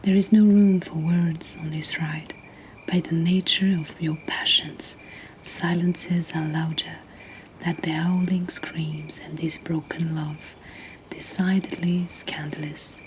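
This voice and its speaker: A woman who is not a native English speaker reads poetry as a host in a soft, breathy, gentle whisper, in an ASMR style. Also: deep voice, steady tone, low-quality recording. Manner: slow, gentle, deliberate delivery. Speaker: host reading submitted poetry. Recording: nighttime cricket ambience behind, faint noise. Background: crickets and insect ambience.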